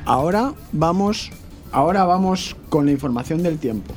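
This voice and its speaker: A man's voice: a little high, animated, normal speed, music in the background. A man presenting a live radio news bulletin.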